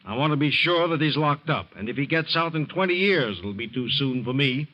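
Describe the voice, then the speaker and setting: A man's voice: fairly high pitch, animated, brisk, close to an everyday voice. A man playing a stern, wealthy older father in a 1940s radio drama, stiff and disapproving. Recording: muffled 1940s radio broadcast.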